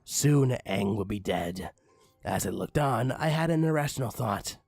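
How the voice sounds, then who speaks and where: A man narrating a comic horror story in a theatrical, mock-ominous manner with drawn-out phrases and a raspy voice. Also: on the low side, animated, a little mellow, no echo, clean studio sound. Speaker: creepypasta reader.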